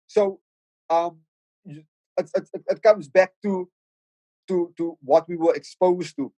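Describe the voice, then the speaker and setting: A man's voice: high-pitched, measured pace, dark tone, dry recording, poor audio. A middle-aged man with a Cape Town South African accent, a podcast guest talking reflectively with lots of stammered restarts. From South Africa, Cape Flats in Cape Town. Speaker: podcast guest, rapper and producer. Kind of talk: casual podcast conversation.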